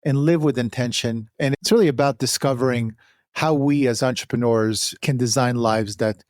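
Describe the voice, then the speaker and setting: A man hosting an interview podcast, speaking earnestly in deliberate, emphatic phrases. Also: medium pitch, a little mellow, no echo, clean studio sound. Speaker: podcast host.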